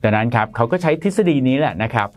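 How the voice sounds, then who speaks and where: A man's voice: medium pitch, expressive, racing. A man in his thirties presenting an explainer to camera in a studio, talking in a polite, chatty way with a lapel mic. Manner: polite, chatty, frequent soft particles. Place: bright studio in front of a plain grey backdrop.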